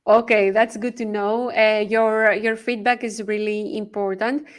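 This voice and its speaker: A woman hosting a live podcast interview, speaking briskly with frequent filler pauses. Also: medium pitch, flat delivery. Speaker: podcast host.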